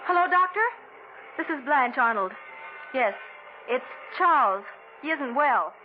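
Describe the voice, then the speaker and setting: An actress playing an anxious, fussing wife in a 1940s radio drama. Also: female voice, lively intonation, a little slow. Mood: worried. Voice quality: high, fluttery, worried. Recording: thin, tinny old radio broadcast.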